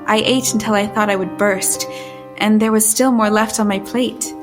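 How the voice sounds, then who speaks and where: A woman's voice: mid-range voice, fairly even intonation, moderate pace, close to an everyday voice. An actress playing a bewildered, well-mannered young woman of the 19th century in an audio drama, with proper period phrasing. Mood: narrating. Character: bewildered, well-mannered young asylum escapee in 1871, narrating her tale. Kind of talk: audio drama dialogue and first-person narration. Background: music.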